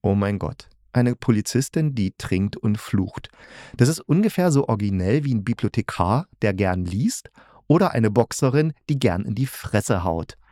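A man's voice: lowish voice, expressive, normal speed, warm tone, no echo, studio quality. A man hosting a podcast review, opinionated and emphatic, with colloquial, sarcastic phrasing. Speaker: podcast host and audio drama critic.

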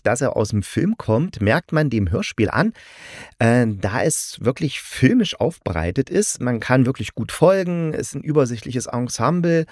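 A man's voice: medium pitch, dry recording. Man hosting an informal, dry-sounding podcast review. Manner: informal, opinionated, addresses listeners directly. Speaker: podcast host reviewing audio dramas. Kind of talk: conversational solo review.

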